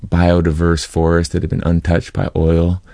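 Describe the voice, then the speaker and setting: A middle-aged American man from California giving a reflective, halting personal account as an interview guest. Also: low voice, completely flat delivery, creaky, warm tone, no echo, clean studio sound. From California, United States.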